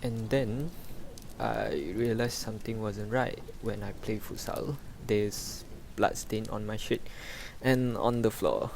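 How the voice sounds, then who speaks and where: A young man playing a despondent cancer patient in an amateur radio drama, halting and resigned. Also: on the low side, animated, vocal fry, a little mellow, slight background noise, full character voice.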